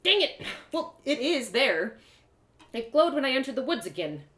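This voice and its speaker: Woman playing feisty adventurer girl, sarcastic, blunt, jumpy pitch, echoey room. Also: sing-song, moderate pace, a bit bright. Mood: indignant. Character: feisty, stubborn, irritable young female adventurer. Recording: roomy echo.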